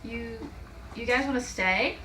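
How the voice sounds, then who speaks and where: A woman's voice: fairly high pitch, a little slow, bright, forceful tone, echoey room, recorded from a distance, a little hiss or hum. A woman voicing a young schoolgirl heroine in a children's storybook. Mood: hesitant. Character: happy young schoolgirl heroine, sometimes hesitant.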